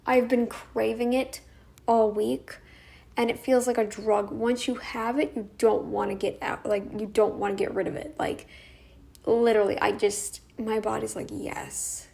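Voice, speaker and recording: A young woman vlogging casually to camera, her voice croaky as she talks in halting, rambling sentences full of restarts. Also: a little high, level tone, a little mellow. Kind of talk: casual talking-head vlog.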